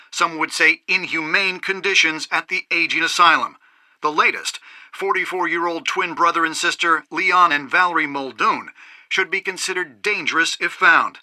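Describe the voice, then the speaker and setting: A man's voice: fairly high pitch, pushed and bright, no room sound, studio quality. A man acting as a city news anchor in a video game, reading crime reports in a polished, emphatic newsreader delivery. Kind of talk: scripted news broadcast.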